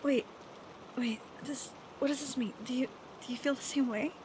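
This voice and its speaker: A young woman acting an affectionate, teasing best friend who is nervously smitten, in a soft, hesitant, intimate roleplay style with rain behind her. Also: sits high, moderate pace, background noise, close to an everyday voice. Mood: flustered, nervous. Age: young adult. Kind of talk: intimate roleplay audio acting, girlfriend-experience monologue. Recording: steady rain sound effects behind voice.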